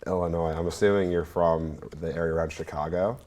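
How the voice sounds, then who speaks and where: A man's voice: sits low, steady tone, brisk, darkish tone. A young adult man from New York State, a guest on a student talk show, rambling and hesitant, filling gaps with ums and restarts. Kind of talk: casual chat.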